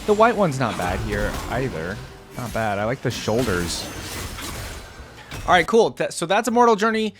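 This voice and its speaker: A man's voice: mid-range voice, very animated, normal speed, pauses often, over music. A man hosting a reaction video, talking in a casual, unscripted, rambling conversational way. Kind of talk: casual, unscripted reaction commentary to camera or screen.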